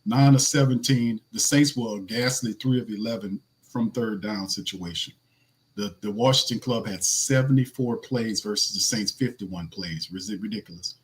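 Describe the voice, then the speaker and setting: A man with a raspy voice hosting a sports podcast, talking loosely with slang and running through game stats. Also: on the low side, normal speed, rough recording. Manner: loose, slangy, restarts a lot, reads stats. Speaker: podcast host. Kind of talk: casual sports podcast talk.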